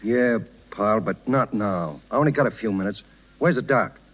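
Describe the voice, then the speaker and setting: A man's voice: fairly low pitch, moderate pace, natural voice. An American actor playing a blunt, friendly circus showman with plain, slangy talk in a 1930s radio drama. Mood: warm greeting. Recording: muffled 1930s radio broadcast recording.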